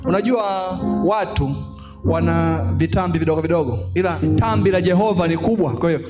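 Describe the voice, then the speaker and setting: A man's voice: high-pitched, fairly quick, loud music behind the voice. A man preaching briefly at a church service, playful with his words. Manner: sings praise, preaches with playful wordplay. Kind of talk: singing and short exhortation. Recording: loud live band behind.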